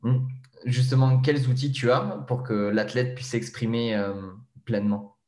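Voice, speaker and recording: A man's voice: mid-range voice, unhurried, darkish tone. A man hosting a podcast in a casual, hesitant way with fillers, introducing and interviewing a guest. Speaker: podcast host.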